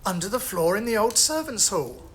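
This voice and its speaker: A woman playing a gentle, forgiving young heir in an audio drama. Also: mid-range voice, very animated, normal speed, slight background noise, natural voice. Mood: apologetic.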